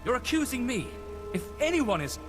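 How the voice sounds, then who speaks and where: Casting: sarcastic young aristocrat for a game, very high male voice, wildly animated, affronted. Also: moderate pace, music in the background. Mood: indignant sarcasm. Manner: sardonic, affronted. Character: indignant, sarcastic ambitious young noble heir. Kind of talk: video game voice acting.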